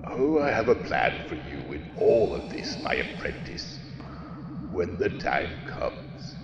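A man in an audio drama playing a menacing, scheming old dark lord, imperious and deliberate. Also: deep voice, very animated, reverberant, muffled recording, music in the background, exaggerated voice. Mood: commanding.

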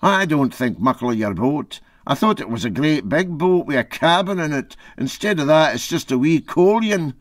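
A man voicing a sharp, scornful, meddling Glasgow wife with a curt, disapproving manner, in a storybook reading. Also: on the high side, expressive, normal speed, few pauses, dry recording, studio quality, voice pushed up, close to an everyday voice. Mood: disdainful. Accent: Glasgow Scottish.

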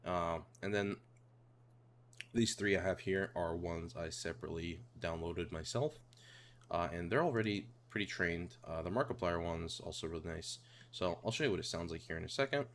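A man narrating a casual software tutorial in a hesitant, rambling way, repeating himself. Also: sits low, moderate pace. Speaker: tutorial presenter. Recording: home mic with clear background noise.

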